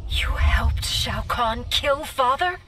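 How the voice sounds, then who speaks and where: An actress playing a proud, resolute young warrior princess in a video game, speaking in formal, measured commands. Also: female voice, sits high, animated, distant mic, over loud music. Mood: hurt, suspicious. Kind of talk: scripted video game fight dialogue.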